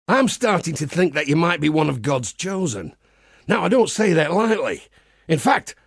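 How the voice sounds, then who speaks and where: A man voicing a pious, wry crusader priest in a video game, with a husky, gravelly voice and a measured, folksy preacher's manner. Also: a little high, dry recording, clean studio sound, exaggerated voice. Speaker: game character. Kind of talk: scripted game character monologue.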